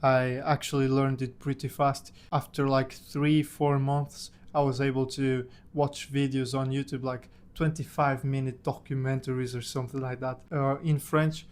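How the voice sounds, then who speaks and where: A man's voice: medium pitch, level tone, normal speed, few pauses, darkish tone, smooth. A young man from Portugal, a native Portuguese speaker, casually telling a personal story about himself in English. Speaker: language-learning content creator.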